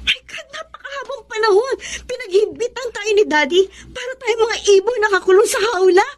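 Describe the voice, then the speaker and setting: An actress playing a defiant, indignant young daughter with a Filipino accent in a radio drama. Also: female voice, very high voice, brisk.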